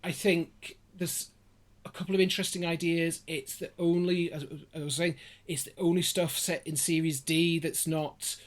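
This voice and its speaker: A man's voice: on the high side, flat delivery, normal speed. A man chatting casually as a podcast guest, rambling and often interrupting himself with asides. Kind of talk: casual chat.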